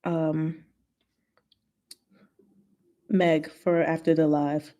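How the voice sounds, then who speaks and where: A woman's voice: low-pitched, level tone, very slow, mellow. A woman hosting a casual livestream, playful and informal, chatting and reading stories aloud. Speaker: host of a livestream.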